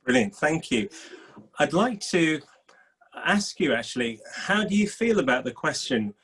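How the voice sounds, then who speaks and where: A man's voice: on the high side, big pitch swings, unhurried, a bit bright, slightly rough. A man hosting a panel discussion, welcoming and enthusiastic as he poses questions. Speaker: panel host.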